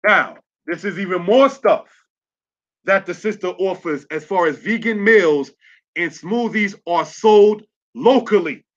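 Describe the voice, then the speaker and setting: High-voiced man with lo-fi audio. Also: a bit bright. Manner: spells words out letter by letter, repeated "all right?" check-ins. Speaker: religious teacher. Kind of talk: slide-based online lesson. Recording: dull lo-fi audio.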